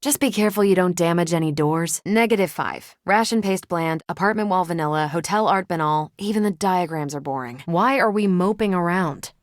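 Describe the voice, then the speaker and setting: A woman's voice: fairly low pitch, brisk, hardly any pauses, no echo, studio quality, natural voice. An actress playing a confident, brash and loyal female superhero in a video game, with a wry, casual, self-assured way of talking.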